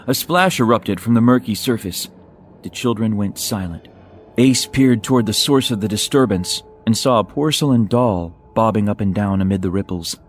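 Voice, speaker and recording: A man reading dramatic fiction as a scripted audiobook narrator. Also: fairly low pitch.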